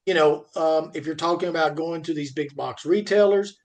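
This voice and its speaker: Higher-pitched man, halting and emphatic. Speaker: podcast co-host. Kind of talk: conversational industry podcast talk.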